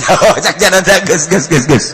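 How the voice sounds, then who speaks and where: An older man with a Sundanese accent giving a humorous sermon, telling animated stories, breaking into laughter and shouts. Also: fairly high pitch, normal speed, some chatter behind. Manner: animated storytelling, shouting and laughing at his own jokes. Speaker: Islamic preacher.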